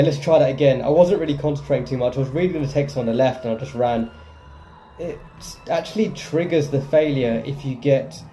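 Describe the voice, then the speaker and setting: Very mellow-voiced man commenting on gameplay. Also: mid-range voice. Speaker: gaming video host.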